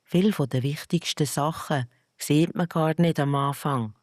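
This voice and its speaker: A storyteller voicing a friendly, amused little cloud who explains things in a patient, gently teaching way. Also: medium pitch, measured pace, dry recording, studio quality, lightly acted. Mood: patient, wise.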